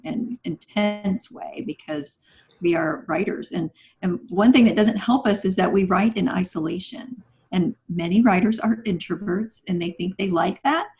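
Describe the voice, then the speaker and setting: A woman speaking as a podcast guest writer, explaining things in an articulate, measured, conversational way. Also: lowish voice, a little mellow.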